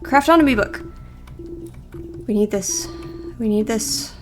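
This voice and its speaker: High-voiced woman streaming a game. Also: takes it slowly. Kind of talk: live playthrough narration and commentary, reading game text aloud. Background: game music.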